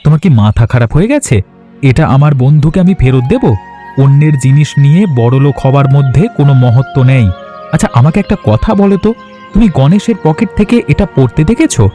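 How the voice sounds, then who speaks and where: Lively, honest, timid cartoon husband, a man voicing him. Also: on the high side, fairly quick, close to an everyday voice. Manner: anxious, scolding. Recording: voice over music.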